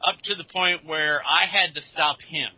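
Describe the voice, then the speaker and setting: A man's voice: fairly high pitch, normal speed, slightly rough. A man on a podcast panel, chatting casually and telling anecdotes. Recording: slightly dull lo-fi audio.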